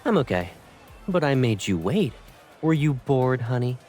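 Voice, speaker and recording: A man's voice: mid-range voice, very animated, measured pace, darkish tone. A man voicing a teasing, devoted young captain and sweetheart in a romantic game fan dub. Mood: teasing, fond. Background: music.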